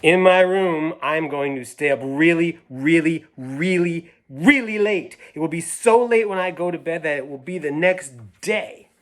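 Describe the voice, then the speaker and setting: A man's voice: a little high, fairly bright tone, voice pushed up. Man reading as an indignant, stubborn little girl. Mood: defiant. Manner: emphatic, deliberate, self-righteous. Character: indignant, stubborn, dramatic little girl narrating her grievances.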